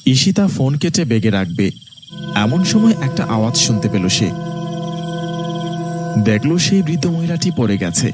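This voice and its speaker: A man's voice: mid-range voice, very animated, normal speed, long pauses, music in the background. A man narrating a scripted horror story as its storyteller.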